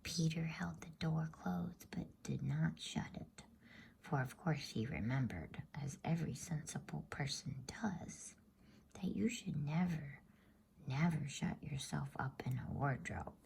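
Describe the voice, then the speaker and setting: A woman whispering a bedtime story as ASMR in a soothing, hushed, drawn-out voice. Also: sits low, lively intonation, a little hiss or hum. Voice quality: breathy whisper.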